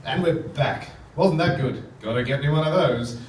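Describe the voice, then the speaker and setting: A man's voice: a little high, normal speed, natural voice. A man playing an announcer in a comic stage radio serial, narrating in parody melodramatic style. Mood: chatty, storytelling. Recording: echoey theatre, distant mic, loud music.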